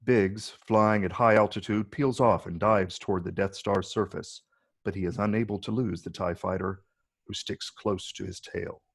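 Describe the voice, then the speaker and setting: A man narrates screenplay action in a scripted reading. His voice is fairly low, with heavy gravel and a husky rasp. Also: steady tone, moderate pace. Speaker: narrator reading stage directions.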